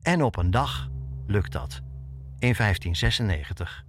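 A man's voice: fairly low pitch, big pitch swings, a little slow, slight background noise. A man hosting as a reporter, reading scripted documentary narration for a podcast.

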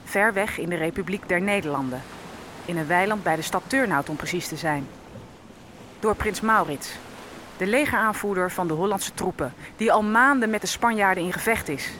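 A woman's voice: on the low side, animated, moderate pace, fairly bright tone, a little hiss or hum. An actress playing a grieving enslaved African woman held on a ship, telling her story solemnly and poetically in a radio play. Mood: solemn, bleak. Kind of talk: dramatic first-person radio play narration.

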